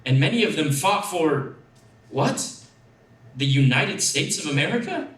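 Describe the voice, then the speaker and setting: A man reads a first-person story dramatically, with expressive, deliberate phrasing. His voice is on the higher side. The room is echoey and he sounds set back from the mic. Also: far from the mic. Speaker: actor reading a story.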